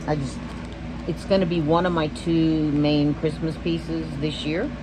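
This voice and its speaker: A woman's voice: low-pitched, fairly even intonation, measured pace, noisy background. A Texan woman hosting a stitching vlog, chatting casually and rambling, often losing her train of thought. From Texas. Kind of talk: casual show-and-tell vlog.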